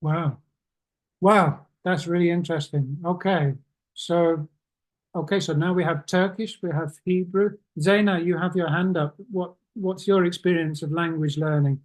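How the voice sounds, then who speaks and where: A man teaching an online English class, asking slow, encouraging questions with many pauses. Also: a little high, steady tone, low-quality recording.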